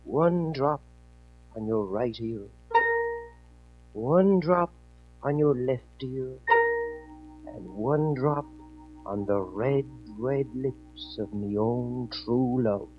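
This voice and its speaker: A man's voice: high-pitched, sing-song, normal speed, husky, full character voice. An actor playing a young Irishman in love in a 1940s radio play, speaking with an Irish accent in an earnest, pleading way. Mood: tender. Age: young adult. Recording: dull old radio recording, faint music.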